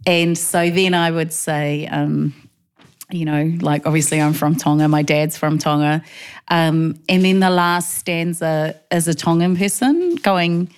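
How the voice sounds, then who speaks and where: Lower-pitched New Zealand woman, informal storyteller. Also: smooth. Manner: informal, halting, frequently says "like". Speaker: podcast guest, poet. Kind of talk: casual chat, personal storytelling.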